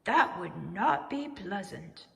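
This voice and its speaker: A woman voicing an anxious, indignant male owl in a children's storybook, easily worked up, with exclamatory, drawn-out words. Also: mid-range voice, very animated, creaky, a bit bright, long reverb tail, full character voice. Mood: worried. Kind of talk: children's storybook read-aloud.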